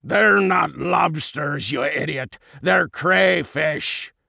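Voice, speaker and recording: Man doing a scornful bully fish, bellowing, drawn-out emphatic delivery, gravelly, audiobook character. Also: on the high side, pushed and bright, no room sound, studio quality, full character voice.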